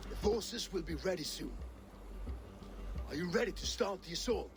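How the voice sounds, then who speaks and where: An actor playing an earnest, fervent Viking war captain in a video game, with portentous delivery. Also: male voice, high voice, expressive, normal speed, creaky, over music. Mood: earnest briefing. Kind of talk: dramatic video game acting. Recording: game audio with background noise.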